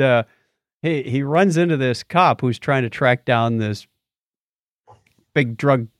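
A man's voice: medium pitch, dry recording, clean studio sound. A middle-aged man chatting casually and conversationally as a podcast co-host. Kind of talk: casual chat.

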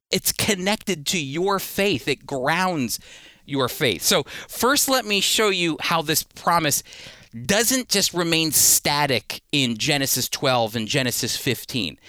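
A man with a fairly high, very bright voice teaches scripture on the radio in a conversational way. He explains with emphasis and talks directly to the listener. The sound is close and dry. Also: no echo. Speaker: radio Bible teacher and host.

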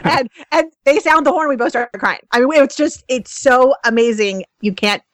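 A woman from Ohio, a podcast co-host chatting casually, gushing and quick to laugh. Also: high-pitched, a bit bright, no echo. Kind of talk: casual chat.

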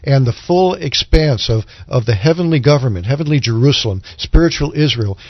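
A man's voice: medium pitch, lively intonation, fairly quick, no echo. Dry, slightly muffled man explaining scripture. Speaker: religious podcast panelist explaining Bible prophecy. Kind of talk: conversational Bible discussion.

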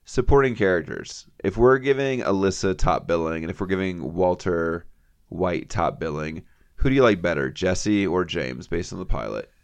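A man's voice: low-pitched, animated, moderate pace, vocal fry, clear, resonant tone. A man co-hosting a casual podcast chat, trading wry, teasing banter. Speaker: podcast co-host.

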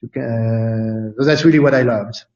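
Halting man from France, roomy sound. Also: mid-range voice, a little mellow, lo-fi sound. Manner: thoughtful, halting, many fillers and restarts. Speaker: guest. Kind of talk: casual interview chat.

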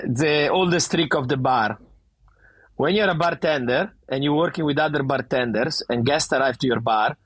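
Husky-voiced Italian-accented man. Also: fairly high pitch, dry recording. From Italy. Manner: animated, emphatic, frequent restarts. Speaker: bar owner guest. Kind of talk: casual interview chat.

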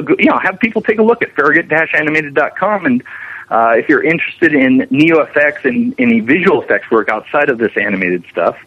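A man's voice: medium pitch, husky. A man being interviewed as a guest, talking in a casual, explanatory and enthusiastic way, rambling on about his work. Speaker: interview guest, visual effects animator. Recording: dull lo-fi, radio-like remote line.

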